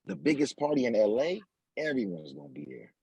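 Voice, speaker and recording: An actor playing a cocky, smooth-talking street hustler in an audio drama, husky and gravelly, with slangy, wry delivery. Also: male voice, on the high side, huge pitch swings, brisk, dark tone, no echo, muffled recording, exaggerated voice. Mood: easygoing.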